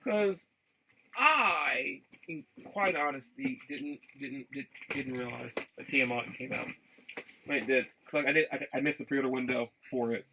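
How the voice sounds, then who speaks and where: A man rambling casually as a vlogger, enthusiastic about his hobbies, often halting, repeating words and backtracking. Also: mid-range voice, sharp tone, slight background noise, low-quality recording. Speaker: vlogger showing game and figure pickups. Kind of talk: casual rambling vlog chat.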